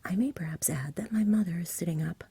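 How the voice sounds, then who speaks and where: A woman's voice: fairly low pitch, dark tone, dry recording, pitched above the performer's own voice, heavily acted. Whispering, eager storybook boy, voiced by a woman. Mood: exasperated, practical. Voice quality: breathy whisper. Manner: brisk, no-nonsense. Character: eager, blunt, practical young boy.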